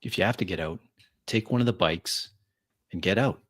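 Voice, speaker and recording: A man playing a tabletop roleplay, narrating in the first person in halting, deliberate, brooding phrases. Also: deep voice. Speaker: roleplay player.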